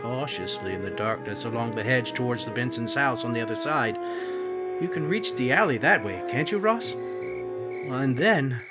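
A man's voice: mid-range voice, animated, normal speed, over loud music, close to an everyday voice. A man playing a sinister, taunting narrator of an old-time radio mystery, addressing the listener in an ominous, needling way. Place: indoors at home, video call setup.

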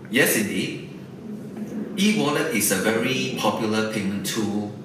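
A man's voice: fairly low pitch, lively intonation, a little slow, recorded from a distance, noisy background. A young man from Malaysia, a remote guest, gives formal, scripted-sounding answers from a small office room. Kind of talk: prepared answers on a live link. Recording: very echoey room from the mic, faint ambience.

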